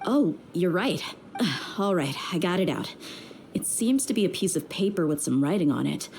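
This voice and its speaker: A woman's voice: on the low side, animated, moderate pace, noisy background. An actress playing a tough young woman mercenary in a video game, dry and weary with tenderness underneath. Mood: dry, exasperated. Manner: dry, weary, plain-spoken. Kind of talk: video game character dialogue.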